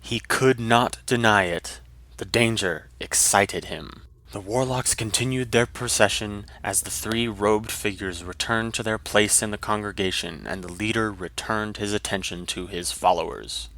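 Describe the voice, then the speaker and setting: Male narrator, lowish pitch, forceful bright tone, dramatic scripted fantasy storytelling. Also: a little slow.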